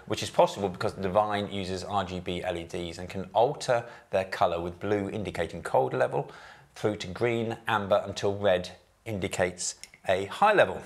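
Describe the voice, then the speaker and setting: A man in his thirties giving a methodical, scripted walkthrough of a product's menu settings, recorded in an office meeting room on a lapel mic. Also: sits low. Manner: measured, methodical, technical explanations. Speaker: product presenter.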